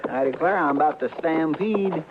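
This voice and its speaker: An actor playing a folksy, grumbling sidekick to a marshal in a radio western, with a rural American drawl. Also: male voice, on the high side, normal speed, natural voice. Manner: folksy, complaining. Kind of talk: 1950s western radio acting. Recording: tinny, noisy vintage radio recording.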